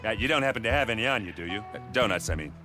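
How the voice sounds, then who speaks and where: An actor playing a boisterous, simple, food-loving warrior with a blunt, goofy manner in a video game. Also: male voice, fairly low pitch, expressive, racing, bright, forceful tone. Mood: casual, brash. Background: music.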